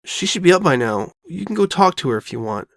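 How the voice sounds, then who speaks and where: A woman voicing a loyal, reassuring young boy dragon who talks plainly and gently insists, in an audio drama. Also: on the low side, big pitch swings, quick pace, gravelly, no echo, clean studio sound. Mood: encouraging. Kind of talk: all-dialogue fan audio drama.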